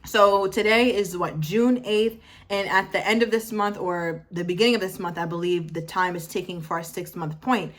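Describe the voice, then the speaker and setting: A woman's voice: lowish voice, moderate pace. Emphatic young woman giving a pep talk. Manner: emphatic, repeats phrases for effect, self-correcting. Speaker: motivational vlogger. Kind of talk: casual motivational talk to camera.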